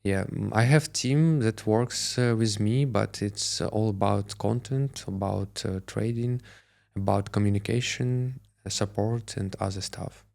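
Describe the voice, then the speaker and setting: Brief: Russian-accented male guest, low gravelly voice, halting with fillers. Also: darkish tone. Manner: hesitant, many filler pauses. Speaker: crypto influencer guest. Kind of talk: interview answers.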